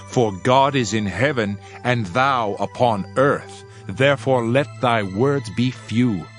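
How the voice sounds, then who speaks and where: A man giving a dramatized scripture reading with a solemn, measured delivery. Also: fairly low pitch. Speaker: scripture reader. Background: faint background music.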